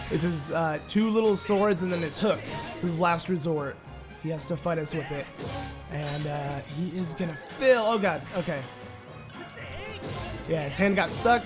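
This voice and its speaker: A man with a hoarse, raspy voice from a sore throat, rambling casually through gameplay commentary with lots of fillers. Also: fairly high pitch. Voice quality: raspy, voice cracking. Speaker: Let's Play commentator.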